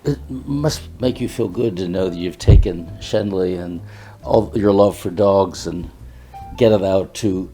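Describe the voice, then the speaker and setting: A man's voice: low-pitched, measured pace, warm tone, soft background music. A man co-hosting a podcast interview, talking casually with frequent restarts and repeated words. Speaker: podcast co-host.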